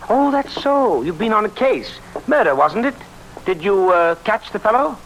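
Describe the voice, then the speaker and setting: A man's voice: sits high, big pitch swings, normal speed, fairly bright tone, exaggerated voice. A man playing a breezy, chummy English police colleague in a vintage radio drama. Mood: breezy, curious. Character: chatty, genial British policeman colleague. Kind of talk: 1940s radio drama acting. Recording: noisy old radio recording.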